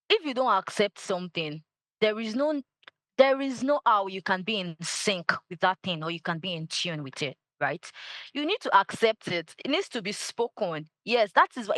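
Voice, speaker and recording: A woman's voice: medium pitch, fairly bright tone, no echo, low-quality recording. Passionate woman, dry sound. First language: Yoruba. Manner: passionate, emphatic, repeats key phrases. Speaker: guest, culture advocate. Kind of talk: interview answers.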